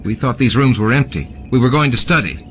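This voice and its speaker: A man's voice: medium pitch, animated, quick pace, voice pushed up, natural voice. A man voicing an earnest, cautious teenage boy in an audiobook, with a worried manner. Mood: apologetic. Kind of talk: audiobook character voice. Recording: muffled, with clear background noise.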